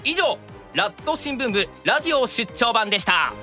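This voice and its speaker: A man's voice: extremely high pitch, sing-song, normal speed, over music. A man with a Kansai accent chatting politely and casually as a radio show guest.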